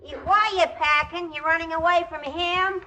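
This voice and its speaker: A woman's voice: very high-pitched, steady tone, normal speed, sharp tone. A woman voicing a nosy little boy in a 1940s radio drama, blunt and inquisitive. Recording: dull, noisy 1940s radio recording.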